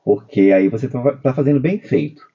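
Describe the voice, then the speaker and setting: A man speaking as a guest in a casual conversation in a spiritist center, halting and repeating words as he remembers. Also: medium pitch, expressive, slightly rough, phone-quality sound.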